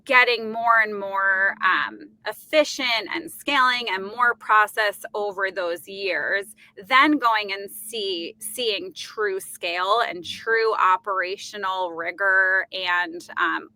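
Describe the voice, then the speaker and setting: A woman from New York with a clear New York accent and a notably bright, crisp voice. She is in her thirties and talks fluently in a podcast interview, dotting her answers with "um" and quick self-corrections. The sound is close and dry. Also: medium pitch, no echo, low-quality recording. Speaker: guest, company executive.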